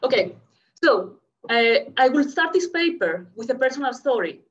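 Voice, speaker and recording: A woman in her thirties with a Greek accent, from Greece, reading a conference paper quickly over a video call. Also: sits high, big pitch swings. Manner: fast-talking, checks in with the audience. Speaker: academic speaker. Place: home room, indoors. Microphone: laptop webcam mic, video call. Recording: muffled lo-fi video call audio.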